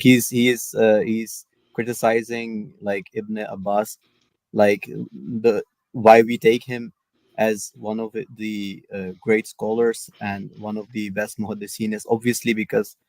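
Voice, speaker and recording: A man with a fairly low voice argues on a video call. He hesitates often and fills gaps with "like" and "uh." His sound is close and dry. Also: level tone, moderate pace, darkish tone, no room sound. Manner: hesitant, full of "like" and "uh" fillers. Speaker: debate guest.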